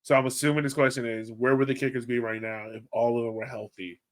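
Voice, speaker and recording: A man with a mid-pitched voice chats as a podcast host about sport. He is informal and conversational, working through his thoughts aloud. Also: flat delivery, fast. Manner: informal, thinks out loud. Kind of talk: casual sports podcast chat.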